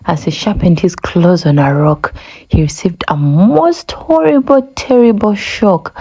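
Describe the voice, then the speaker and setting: A woman narrating a rhyming children's storybook aloud in a measured, expressive way. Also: medium pitch, breathy edge, rough recording.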